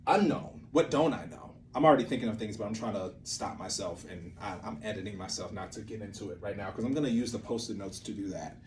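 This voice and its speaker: A man's voice: on the low side, quick pace, few pauses, darkish tone, a little hiss or hum. A man giving an informal whiteboard lesson, thinking aloud in a rambling way and often interrupting himself. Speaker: creative methods teacher and playwright.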